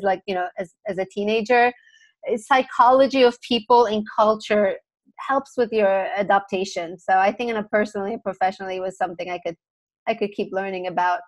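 A middle-aged woman from Turkey speaking conversationally as an expert podcast guest, explaining things. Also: medium pitch.

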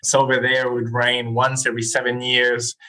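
A man's voice: medium pitch, a little fast, low-quality recording. Chatty man around forty. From Chile. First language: Spanish. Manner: animated, chatty, laughs easily, frequent "like" fillers. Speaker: podcast guest. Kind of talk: casual interview storytelling.

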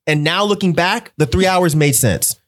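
A man chatting casually as a panelist in a group film review, excitable and emphatic, using slang and profanity. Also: on the high side, moderate pace.

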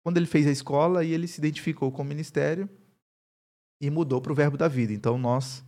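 A man in his thirties with a mixed accent from Paraíba, Brazil, a pastor calmly telling his story as an interview guest. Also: on the high side, quick pace. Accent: mixed Paraíba Brazilian Portuguese. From Campina Grande, Paraíba, Brazil. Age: 30s. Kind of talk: casual interview conversation.

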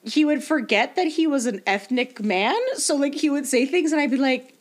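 A young woman from Canada talks in a relaxed, rambling way, her voice sitting a little high. She laughs easily and slips into quick impressions when retelling stories. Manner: laughs often, mimics people in quoted asides. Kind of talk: casual chat.

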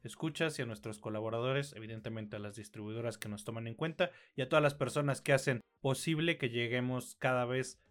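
A man with a Mexican accent co-hosting a film review podcast, speaking in measured, articulate phrases. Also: medium pitch, a bit bright, soft background music. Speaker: podcast co-host.

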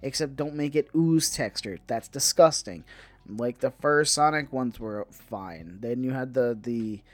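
A man co-hosting a podcast in casual nerdy banter, rambling haltingly and often repeating himself. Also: medium pitch, lively intonation, no room sound. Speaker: podcast co-host. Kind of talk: casual nerd-news banter.

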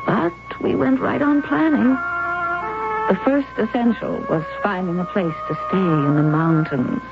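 A woman's voice: mid-range voice, measured pace, vocal fry, loud music behind the voice. An actress playing Susan, a determined young wife planning to fake her death, narrating and speaking with urgent, persuasive intensity. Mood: reflective narration. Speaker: narrator and lead character. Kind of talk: 1970s radio drama acting. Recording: tinny narrow old radio broadcast.

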